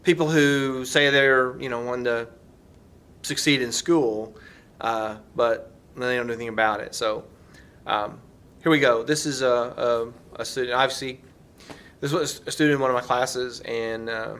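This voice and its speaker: Middle-aged man, sharp-edged bright tone, casual reflective vlogger, earnest, stop-and-start delivery. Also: mid-range voice, steady tone, clean tone. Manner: halting, earnest, thinking aloud. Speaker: vlogger and teacher. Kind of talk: casual, reflective vlog talk to camera.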